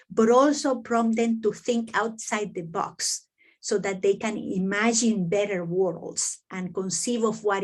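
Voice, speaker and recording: Middle-aged woman from Venezuela, halting. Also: mid-range voice. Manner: hesitant, many fillers and restarts. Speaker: professor presenting to admitted students. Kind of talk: online info session talk.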